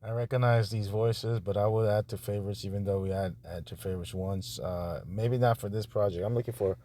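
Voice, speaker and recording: Low-voiced man muttering, noisy recording. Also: steady tone, a little fast, warm tone. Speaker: audiobook producer reviewing narrator auditions. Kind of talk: casual screen-recorded commentary. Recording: clearly noisy background.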